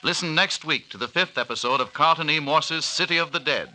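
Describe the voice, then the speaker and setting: Portentous vintage radio announcer, piercingly bright male voice. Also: fairly high pitch, a little fast, rough recording. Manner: dramatic, formal, portentous. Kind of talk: 1930s-40s radio announcing, scripted narration. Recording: hissy old-time radio recording.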